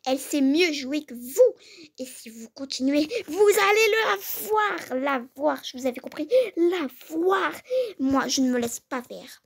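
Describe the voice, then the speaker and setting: A woman's voice: high-pitched, normal speed, darkish tone, slightly rough. A girl playing a furious protective mother. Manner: indignant, threatening, repeats herself. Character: fiercely protective angry mother, woman. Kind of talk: improvised role-play skit.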